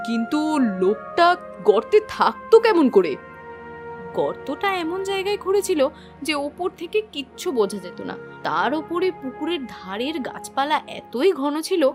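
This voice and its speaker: A woman playing an awed, uneasy gossiping villager in a cartoon fairy tale. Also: sits high.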